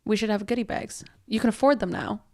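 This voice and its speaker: Fast-talking Canadian woman, chatty. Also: mid-range voice, vocal fry, dark tone. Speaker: podcast co-host. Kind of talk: casual chat.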